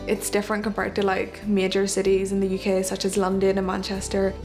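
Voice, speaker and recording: A teenage girl talks in an easy, chatty way, dropping in a casual "you know" here and there. Her voice sits at a medium pitch. Clear guitar music plays under her words. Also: a little fast. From Malaysia, raised in Northern Ireland. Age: teens. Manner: chatty, relaxed, uses fillers like "you know". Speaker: interviewee, daughter. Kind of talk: documentary interview. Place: home living room.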